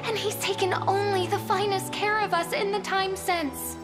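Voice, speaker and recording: A woman's voice: very high voice, steady tone, normal speed, over loud music. A woman playing a loyal, deferential young townswoman in a fantasy game, polite and earnest. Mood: pleading. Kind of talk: video game fantasy acting.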